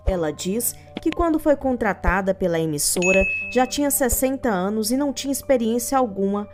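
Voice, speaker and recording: A woman reading a scripted, news-style narration as a reporting voice-over. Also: mid-range voice.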